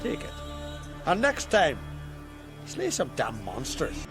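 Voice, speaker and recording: An actor playing a disgruntled, curt villager in a fantasy game, grumbling reproachfully. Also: male voice, fairly high pitch, huge pitch swings, takes it slowly, music in the background, heavily acted.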